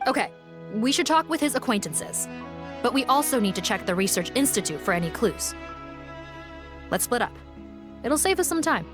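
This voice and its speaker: A woman's voice: fairly high pitch, very animated, normal speed, pauses often, music in the background. An actress playing a formal, cautious female officer leading her team in a video game. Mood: brisk, businesslike. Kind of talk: scripted video game dialogue.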